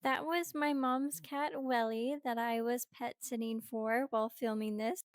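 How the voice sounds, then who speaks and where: A young woman who is a little sick, gently narrating her art process in a chatty, upbeat way. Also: a little high, steady tone, measured pace, soft background music. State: slightly sick. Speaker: art vlogger. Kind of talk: relaxed art tutorial narration.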